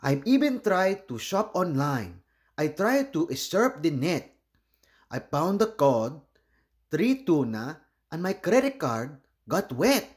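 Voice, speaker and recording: A man voicing an earnest, hapless octopus from a storybook in expressive rhyme. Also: fairly high pitch, takes it slowly. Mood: forlorn. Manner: expressive, simple rhyming delivery.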